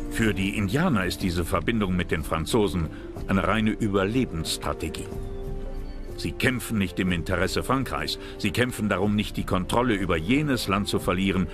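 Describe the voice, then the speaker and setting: Male narrator for history documentary, deep voice, scripted read over music.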